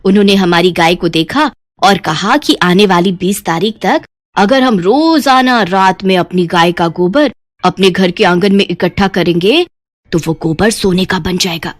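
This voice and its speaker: A woman voicing a crafty, fed-up older village aunt in a cartoon story, speaking in a sly, measured, confiding way. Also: fairly low pitch, dry recording, clean studio sound, lightly acted.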